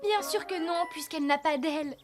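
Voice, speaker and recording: A woman voicing a cheerful teenage girl at a horse ranch in a cartoon dub, talking in a lively, expressive way. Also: high-pitched, moderate pace, music in the background, close to an everyday voice.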